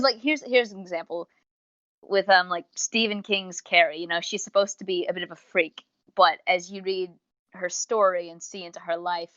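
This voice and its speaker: A woman with a medium-pitched voice gives casual review commentary as a co-host. She is opinionated and emphatic and talks at length. The audio is slightly muffled, with no room sound at all. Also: fairly bright tone, dry recording. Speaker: podcast co-host.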